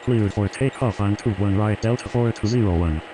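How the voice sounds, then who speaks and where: Male pilot, lowish voice, clipped radio phraseology, heavy background noise. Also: moderate pace. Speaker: airline pilot on air traffic radio. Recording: loud noisy hiss and hum.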